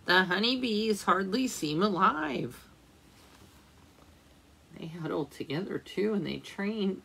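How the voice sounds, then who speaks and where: A woman librarian reading a picture book aloud at storytime, slowly and gently, pausing to ask the children questions. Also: mid-range voice, creaky, husky.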